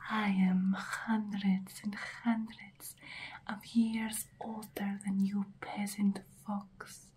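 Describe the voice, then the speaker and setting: Centuries-old female vampire, played by a woman, ASMR roleplay: menacing, condescending, breathy whisper, extremely unhurried delivery. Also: medium pitch, level tone, a little mellow, a little hiss or hum, close to an everyday voice, rough recording. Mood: menacing, scornful. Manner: slow, deliberate, taunting, condescending.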